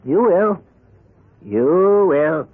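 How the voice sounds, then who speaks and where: An actor in a 1970s radio drama playing an insistent, coolly threatening loan shark. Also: male voice, on the high side, expressive, drawn-out words, breathy edge, narrow, tinny audio, exaggerated voice. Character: coldly menacing loan shark, insistent and smooth-talking. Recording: thin band-limited old radio broadcast.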